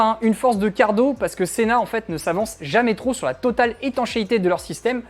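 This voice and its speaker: A woman reviewer narrating a scripted product test in an informal, conversational way, with frequent tag words. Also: on the high side. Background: faint background music.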